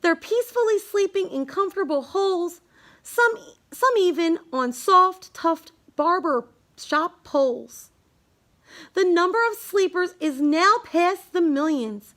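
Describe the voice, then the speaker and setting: A woman reading a rhyming children's bedtime story aloud, halting now and then with small stumbles over words. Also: very high voice, expressive, fairly bright tone. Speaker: storybook reader.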